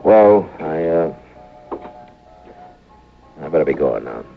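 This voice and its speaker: An actor playing a steady, laconic frontier marshal in a radio western, with a plain-spoken, dry, unflappable manner. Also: male voice, extremely low pitch, takes it slowly, vocal fry, full character voice. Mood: reserved, gentle. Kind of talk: 1950s radio western acting. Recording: tinny vintage radio recording, music behind.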